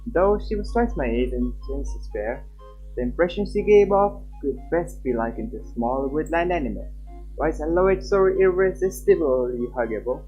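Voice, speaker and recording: A man's voice: fairly high pitch, expressive, moderate pace. A young man chatting informally on a game livestream and reading text aloud. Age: young adult. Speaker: live streamer. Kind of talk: casual game stream chat and reading aloud. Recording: slightly muffled, lo-fi stream mic. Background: game music.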